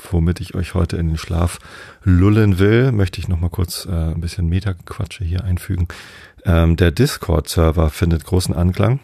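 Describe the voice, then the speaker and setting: A man in his forties hosting a bedtime podcast, chatting slowly and rambling with many hesitations. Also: deep voice, gravelly, mellow, no echo, clean studio sound. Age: 40s. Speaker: podcast host. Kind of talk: calm bedtime podcast chat.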